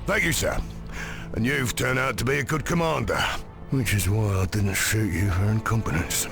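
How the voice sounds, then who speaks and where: A gruff, cheeky sergeant major, clipped and sardonic. His low voice is gravelly and swings widely in pitch. A game score sits behind him. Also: moderate pace, over music. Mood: wry. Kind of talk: video game cutscene acting.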